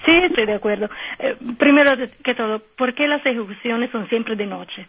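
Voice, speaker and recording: Italian woman guest, husky voice on a dull phone line, halting speech with fillers. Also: on the high side. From Italy. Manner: hesitant, many fillers, searching for words. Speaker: nun and guest. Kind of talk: radio phone interview.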